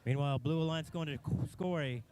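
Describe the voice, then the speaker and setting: A man with a slightly high voice gives play-by-play at a robotics competition. He announces the scores and collisions in brisk, short calls. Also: a little mellow, clean tone. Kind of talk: live event commentary. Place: competition venue.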